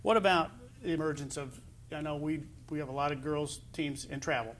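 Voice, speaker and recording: A man's voice: fairly high pitch, a little fast, fairly bright tone, a little hiss or hum. A man with an American accent hosting a casual sports interview as the show's interviewer. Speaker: interview show host.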